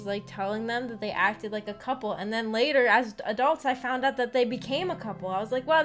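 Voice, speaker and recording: Higher-pitched woman chatting casually on stream. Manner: rambling, thinking aloud, giggly. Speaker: streamer hosting a visual novel book club. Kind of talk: casual live-stream chat with viewers. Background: background music.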